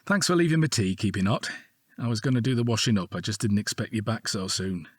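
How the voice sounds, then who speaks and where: A wry British police detective in an audio drama, teasing like a long-married husband. His voice is husky and moves expressively in pitch. It sounds close and dry. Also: expressive, fast, dry recording. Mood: matter-of-fact. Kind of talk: naturalistic audio drama acting.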